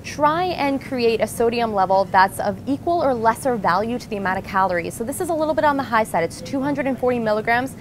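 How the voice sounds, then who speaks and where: A woman in her thirties gives direct, emphatic advice as a nutrition coach, her voice sitting a little high. She is recorded on a lapel mic inside a big grocery store. The hum and clatter of the shop come through plainly behind her. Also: animated, fast, hardly any pauses. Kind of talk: instructional presentation. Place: large grocery store, indoors. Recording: store noise in the background.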